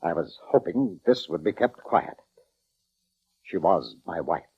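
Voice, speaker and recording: Fretful, husky British orchestra conductor. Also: male voice, low voice, wildly swooping pitch, unhurried, full character voice. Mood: guarded, earnest. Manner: formal, courtly, anxious. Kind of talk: 1940s radio acting. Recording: dull, band-limited old radio recording.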